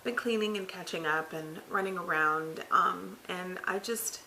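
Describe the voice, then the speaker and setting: A woman in her thirties with a raspy voice that cracks at times, sounding tired after throat surgery, giving a rambling, casual update full of fillers from her living room couch. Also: on the low side, measured pace, pushed and bright, a little hiss or hum, rough recording. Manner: rambling, filler-heavy, self-correcting. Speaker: vlogger. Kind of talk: casual health update vlog.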